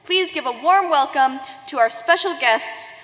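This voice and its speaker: A woman's voice: high voice, animated, measured pace, a bit bright. A woman emceeing an event, reading a polished, enthusiastic introduction. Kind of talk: scripted event announcement. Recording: long reverb in a hall.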